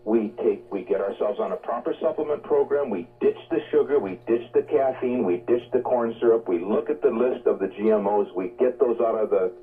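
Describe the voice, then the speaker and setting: A man's voice: on the low side, a little fast, hardly any pauses. A man giving an unscripted, rambling and emphatic health talk on the radio, exhorting listeners, heard over shortwave. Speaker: guest promoting health supplements. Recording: phone-like shortwave radio, distant from mic.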